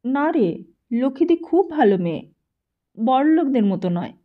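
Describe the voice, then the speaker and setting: A woman voicing a meek, earnest teenage boy who speaks gently and hesitantly in an audiobook story. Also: high voice, sing-song, moderate pace, narrow, tinny audio. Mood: apologetic.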